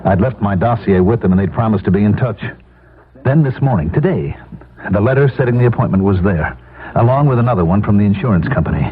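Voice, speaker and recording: Low-voiced desperate man on tinny radio. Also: expressive, fast talker, slightly rough. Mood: bitter, ashamed. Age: middle-aged. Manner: confessional, tense narration and dialogue. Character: desperate, ashamed middle-aged out-of-work family man narrating his downfall. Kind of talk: 1970s radio drama acting. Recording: tinny 1970s radio broadcast, music behind.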